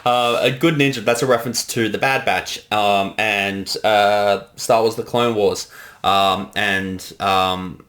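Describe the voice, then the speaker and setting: A man's voice: fairly low pitch, steady tone, takes it slowly, fairly bright tone. A man giving casual director's commentary on a fan parody, informal and self-deprecating, with some swearing. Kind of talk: casual commentary over an animated episode.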